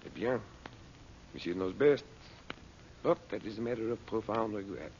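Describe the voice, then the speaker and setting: Casting: male actor as obsequious scheming sorcerer, low unctuous voice, radio serial, muffled vintage recording with hiss. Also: normal speed, darkish tone, natural voice. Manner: unctuous, over-polite, broken English phrasing. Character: sly, obsequious male foreign sorcerer, mock-humble schemer. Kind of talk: radio serial melodrama acting.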